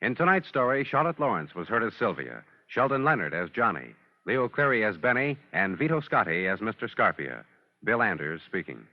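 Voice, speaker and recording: A man's voice: lowish voice, sing-song, a little fast. A man with an American accent reading scripted announcements in 1950s network radio style. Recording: muffled old radio broadcast. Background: music.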